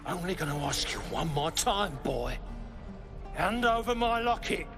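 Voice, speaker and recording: High-pitched, menacing bully. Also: male voice, big pitch swings, a little slow, a bit bright, soft background music, full character voice. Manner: menacing, hectoring. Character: angry, threatening, bullying male villager.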